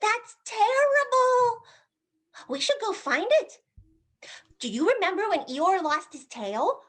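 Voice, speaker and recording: A woman voicing an excitable, naive little plush piglet with eager, repetitive childlike chatter in a play reading. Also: very high-pitched, takes it slowly, heavily acted. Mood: earnest, worried. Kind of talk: dramatized stage play reading.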